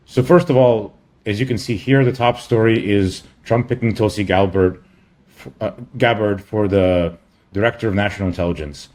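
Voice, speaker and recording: A man giving a casual screen-share product update as a company chief, in a halting, rambling way with offhand asides. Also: fairly low pitch, a little mellow.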